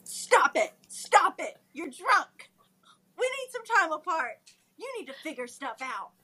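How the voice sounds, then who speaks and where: A woman plays a plaintive, aggrieved fiancé in a reality-show argument. The voice is extremely high and bright, scolding and whining at his partner. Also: very animated, measured pace, exaggerated voice. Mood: fed up. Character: whiny, aggrieved middle-aged man quarrelling with his fiancée. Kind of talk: dramatic reading of a reality TV argument.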